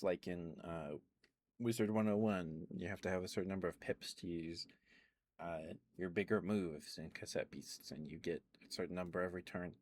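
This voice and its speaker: A man with a very deep voice rambles softly into a close mic during a live ASMR stream. He meanders between topics, filling pauses with ums and small laughs. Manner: meandering, chatty, lots of ums and little laughs. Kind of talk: soft rambling ASMR chat with live viewers.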